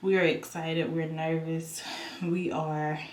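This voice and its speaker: A woman's voice: low voice, level tone, slow, reverberant. A young woman vlogging at home, talking casually and rambling with many ums and repeats, her voice stuffy and nasal. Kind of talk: casual vlog chat. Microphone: camera on a stand.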